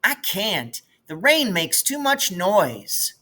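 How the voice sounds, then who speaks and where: A whiny little sister from a storybook, acted out by a man, pitched well up from his own voice. She is prissy and complaining, with a bright, pointed edge. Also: big pitch swings, takes it slowly, exaggerated voice.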